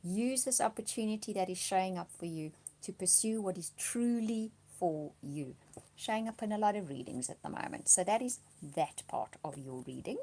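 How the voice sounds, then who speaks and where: A woman doing a monthly tarot reading, slightly hoarse from a cold, speaking softly and reassuringly, dropping to a whisper as she reads the cards. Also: mid-range voice, normal speed. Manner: soft-spoken, often drops to a whisper reading cards; reassuring, often checks in with "okay?". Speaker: tarot card reader.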